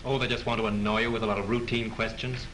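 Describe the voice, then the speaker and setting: An actor in a 1930s film playing a breezy, wry scenario writer who dabbles in murder cases. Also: male voice, lowish voice, level tone, quick pace, a bit bright, a little hiss or hum, natural voice. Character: wry breezy young male writer sleuth.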